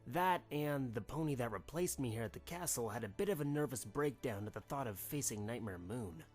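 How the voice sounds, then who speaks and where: A man playing a dutiful, defensive pony prince and guard, formal and measured, in a fan audio drama. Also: medium pitch, expressive, a little mellow, faint music behind, close to an everyday voice. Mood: sober.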